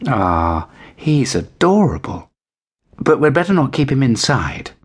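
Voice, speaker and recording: A kind, worried little girl in a storybook, as a male narrator plays her. The voice is raised and lively, earnest and caring. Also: mid-range voice, unhurried, breathy edge, pitched above the performer's own voice. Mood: tender.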